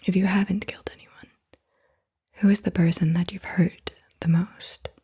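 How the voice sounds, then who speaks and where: A woman ASMR host whispering softly and deliberately in short, breathy phrases, guiding the listener through questions. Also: on the low side, flat delivery. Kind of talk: soft-spoken whispered ASMR, guided questions.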